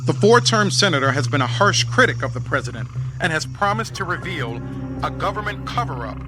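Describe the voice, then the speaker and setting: An actor playing a formal television news commentator in a radio drama. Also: male voice, fairly high pitch, big pitch swings, fairly quick. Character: formal male TV news commentator giving background. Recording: music behind the voice.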